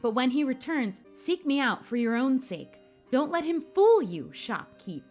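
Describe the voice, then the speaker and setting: A woman's voice: sits high, very animated, unhurried, a little mellow, soft background music, full character voice. A woman voicing a flamboyant, doom-laden male masked intruder from a story game, dramatic and taunting. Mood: brooding, theatrical.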